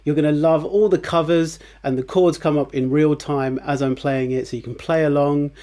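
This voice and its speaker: A man hosting a casual guitar tutorial, chatty and relaxed, with fillers and restarts, who says his voice is on the low side. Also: moderate pace. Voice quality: lower voice, by his own account. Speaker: guitar teacher, channel host.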